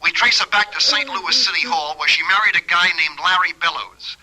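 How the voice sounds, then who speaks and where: Chatty police lieutenant down a phone line, bright high voice, old radio mystery style. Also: male voice, fairly quick. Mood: breezy, baffled. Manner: breezy, colloquial. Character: brisk, chatty police lieutenant reporting on a case. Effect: telephone filter. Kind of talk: old-time radio drama acting.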